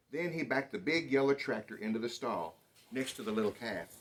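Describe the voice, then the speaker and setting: A man reading a children's picture book aloud in an expressive, dramatic storytelling manner. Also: fairly low pitch, slight background noise. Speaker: storybook reader.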